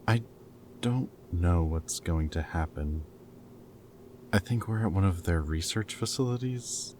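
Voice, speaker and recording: Guy playing a really deep voiced rebel scientist in an audio rp, halting n earnest, kinda guilty, noisy background. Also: darkish tone. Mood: subdued, reassuring. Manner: hesitant, halting, casual and earnest. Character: goofy male rebel scientist, captured, caring and guilt-ridden. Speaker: audio roleplay performer. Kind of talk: intimate dramatic audio roleplay.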